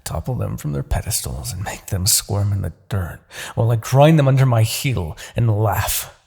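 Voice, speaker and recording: A demon king in a fantasy roleplay audio, immortal, smug and coldly persuasive. The voice is very husky and thick with gravel, and it swings expressively up and down. He lingers on words with theatrical, condescending menace. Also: lowish voice, lively intonation, moderate pace, a little mellow, full character voice. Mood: smug, scornful. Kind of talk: fantasy ASMR roleplay acting.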